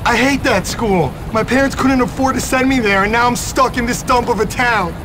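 A resentful young town tough who has been beaten. His pleading voice is extremely high for a man, with clear noise behind it, as in a game cutscene. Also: moderate pace. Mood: pleading, sullen. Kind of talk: video game cutscene acting.

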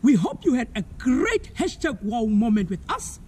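A man in his thirties with a South African accent, giving a slow, clear science lesson for children outdoors, asking viewers to spell words. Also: extremely high pitch, sing-song, warm tone, slight background noise. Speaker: environmental educator. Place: outdoors on a lawn.

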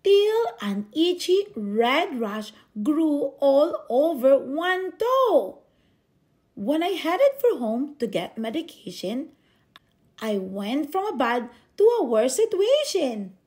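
A woman reading a children's picture book aloud expressively, with theatrical, drawn-out emphasis on key words. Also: high voice, big pitch swings, darkish tone. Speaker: storybook reader.

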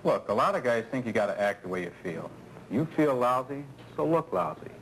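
An actor playing a seasoned, folksy American coworker giving persuasive advice in a 1970s training film. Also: male voice, mid-range voice, sing-song, fairly quick, a bit bright, rough recording. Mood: earnest, coaxing. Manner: colloquial, persuasive, rhetorical questions. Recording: 1970s film soundtrack, faint noise.

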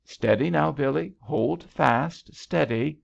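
Reader playing gallant male storybook hero: commanding, pitched up, emphatic, slightly dull recording. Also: slow pace, vocal fry, exaggerated voice. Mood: grim, steady. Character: bold, gallant male swimmer, protective and steady.

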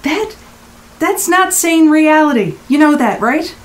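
An actress playing a blunt, exasperated rental guest in an audio drama, with emphatic, clipped phrases. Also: female voice, sits high, lively intonation, a little slow. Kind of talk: naturalistic audio drama acting.

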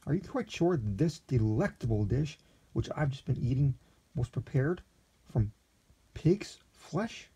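A man's voice: mid-range voice, big pitch swings, a little slow, mellow, slightly rough, heavily acted. A man playing a gushing naive young gourmet. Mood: bewildered. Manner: gushing, breathless exclamations.

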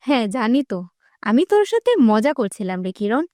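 A woman acts a protective young village girl with a very high, dry voice. She speaks emphatically and familiarly, like a bossy best friend in a cartoon. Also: sing-song, brisk, dark tone, no echo. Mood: caring, firm. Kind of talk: Bengali fairy-tale cartoon dubbing.

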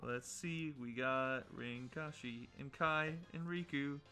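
Playful young man with a bright, forceful voice. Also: mid-range voice, slow. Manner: playful, jokey. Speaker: host and player of an online game battle. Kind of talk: casual gaming banter. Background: game music.

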